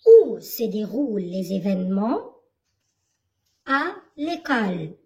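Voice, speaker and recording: Slow, lively woman teaching children. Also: mid-range voice. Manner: slow, questioning, didactic. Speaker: primary school teacher. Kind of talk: reading lesson for children.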